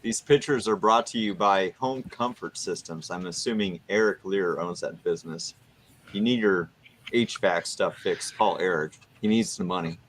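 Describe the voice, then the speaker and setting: An American man hosting a casual chat, joking in a deadpan way. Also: sits low, normal speed, slight background noise, rough recording. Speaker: podcast host.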